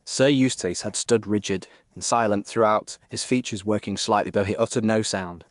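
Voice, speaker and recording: A man serves as narrator of a period mystery audio story. His voice is on the low side and recorded dry. The delivery is formal and measured, suited to literary prose. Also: steady tone, no echo.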